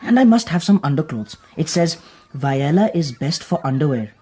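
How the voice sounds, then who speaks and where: A woman's voice: low-pitched, lively intonation, normal speed, mellow, breathy edge. A performer playing an eager, naive, courteous young nobleman in a radio drama, asking things politely and earnestly. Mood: eager curiosity.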